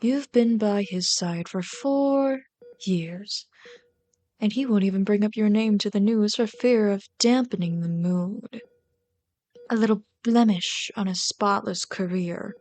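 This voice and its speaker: A woman's voice: mid-range voice, measured pace, natural voice. A woman playing a bitter but caring villain queen in an ASMR roleplay, scolding and sardonic, often exasperated. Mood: bitter, concerned. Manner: scolding, sardonic, exasperated, dropping to hushed asides. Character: bitter, caring female villain rat queen tending a captive sidekick. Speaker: voice actor in an audio roleplay.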